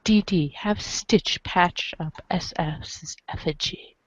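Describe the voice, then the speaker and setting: Woman podcast reader, slow deliberate delivery, dramatic script narration, dry muffled lo-fi sound. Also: fairly low pitch, dry recording. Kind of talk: dramatic read-aloud of a webcomic script.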